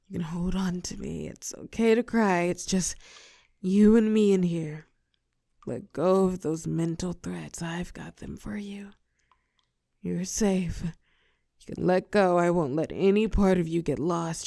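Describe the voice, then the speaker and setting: A woman playing a telepathic villain and former hero in a roleplay audio drama, protective and sharp-tongued, with a breathy voice that often drops to a whisper. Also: on the low side, no room sound, studio quality, full character voice. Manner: slow, commanding yet tender coaching.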